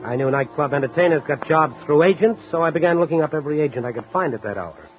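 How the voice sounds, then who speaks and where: An American actor playing a shrewd, wry newspaper reporter in a 1950s radio drama, speaking in a dry, measured, hard-boiled way. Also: male voice, a little high, lively intonation, lightly acted. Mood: brooding, wry. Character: shrewd, wry night-beat newspaper reporter, adult man. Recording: dull vintage radio recording with music.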